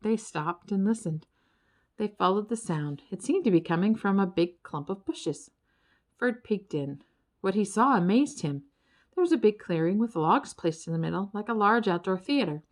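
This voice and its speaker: A woman narrates a children's story with theatrical expression. Her pitch sits in a medium range and moves around a great deal as she tells it. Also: lively intonation, normal speed. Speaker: audiobook narrator.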